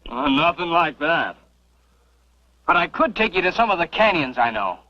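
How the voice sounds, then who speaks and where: A man's voice: fairly high pitch, sing-song, moderate pace, dry recording. A man playing an earnest, shy young rock collector in an old western drama, speaking with boyish sincerity. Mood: polite, curious. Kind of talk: mid-century western drama acting. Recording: noisy, muffled vintage TV soundtrack.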